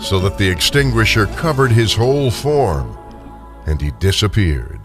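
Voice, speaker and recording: A man's voice: lowish voice, a bit bright, slightly rough. A man narrating a classic story dramatically as scripted radio theater. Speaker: narrator and performer.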